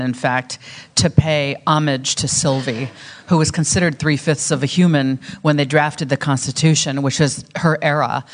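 A woman of about fifty, an author speaking on a live panel in a measured way, with frequent "um" fillers. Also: rumbling low. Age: 50s. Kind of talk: panel talk. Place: festival event room.

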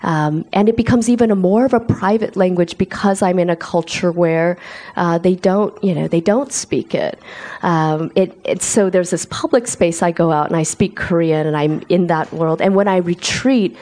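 A woman author answering interview questions in a thoughtful, searching way, with frequent pauses and restarts. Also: fairly low pitch, mellow. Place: lives in South Korea.

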